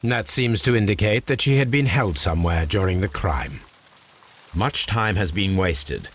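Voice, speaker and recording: An actor playing an aloof, analytical Victorian gentleman detective in a video game, with a British accent and a precise, formal, lecturing manner. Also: on the low side, expressive, measured pace. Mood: confident, deductive. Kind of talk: scripted video game acting.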